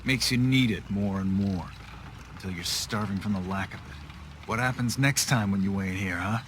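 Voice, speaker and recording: A man's voice: lowish voice, moderate pace, noisy background, natural voice. A man acting a blunt, hostile and bitter islander who sells guns in a video game. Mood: scornful, gruff. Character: hot-headed, bitter islander gun seller, a grown man.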